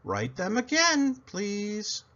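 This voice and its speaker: A man's voice: high-pitched, wildly swooping pitch, very slow, sharp tone, highs cut off, voice pushed up, heavily acted. A man voicing a strict, unsmiling woman first-grade teacher in a storybook, firm and instructive. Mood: stern.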